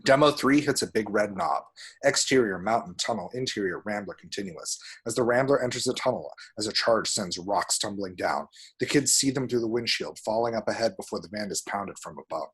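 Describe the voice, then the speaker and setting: A man narrates the action lines of a screenplay at a table read. His voice sits fairly low and has a husky, unpolished grain. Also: animated, brisk, fairly bright tone.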